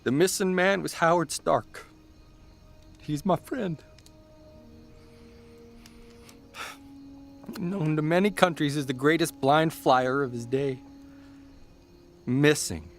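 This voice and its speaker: A man playing a reflective, plainspoken newspaper columnist, giving sombre, measured dramatic narration. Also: on the high side, steady tone. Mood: grave. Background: faint music.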